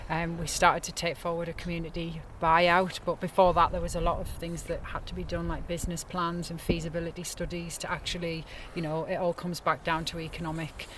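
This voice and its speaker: A woman's voice: lowish voice, flat delivery, fairly quick, few pauses, fairly bright tone, slight background noise. A woman from Sunderland, an estate manager, explaining things steadily with many fillers and restarts in an outdoor interview. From Sunderland, north-east England. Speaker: nature reserve estate manager. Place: open moorland outdoors.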